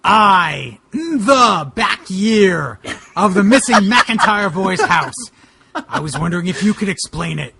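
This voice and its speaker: A huge, brooding wrestler on a case as a podcast reader puts him on, speaking slowly with a gravelly creak. The pitch swings about dramatically, and music plays underneath. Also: lively intonation, shouting. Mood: sad, halting. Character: Boogeyman, huge brooding wrestler investigating missing kids. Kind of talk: hammy dramatic reading of wrestling fan fiction.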